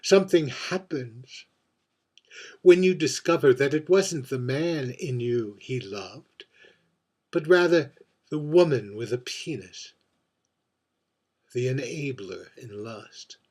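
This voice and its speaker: Man playing a slow, husky, lonely drag queen. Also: medium pitch, lively intonation, a little mellow. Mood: wounded, rueful. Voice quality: husky, breathy. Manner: halting, wistful, confiding, with dramatic pauses. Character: lonely, heartbroken, boozy drag queen confiding at a bar. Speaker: writer reading own monologue. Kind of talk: theatrical dramatic monologue.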